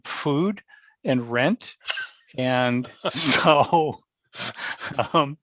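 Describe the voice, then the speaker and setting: Older man, American accent, creaky voice, casual anecdotes, podcast guest, dry muffled lo-fi sound. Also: medium pitch, a bit bright, no echo. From small town in New York state, United States. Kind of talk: casual anecdotal interview chat.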